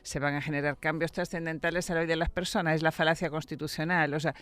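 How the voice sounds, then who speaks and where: A woman from Venezuela answers a radio interviewer's questions in a thoughtful, measured way, often repeating a word as she gathers her point. Her voice is smooth and resonant and she sounds close to the studio microphone. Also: low voice, steady tone, fairly bright tone. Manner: reflective, measured, with repeated words. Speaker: political scientist guest.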